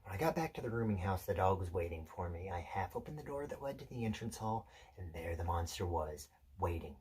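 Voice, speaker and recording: A man acting out a theatrical monologue as an intense, unsettled loner, confiding and deliberate, pausing and correcting himself. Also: sits low, lively intonation, darkish tone. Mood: hushed, suspenseful. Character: intense, unsettled male loner telling a rambling story.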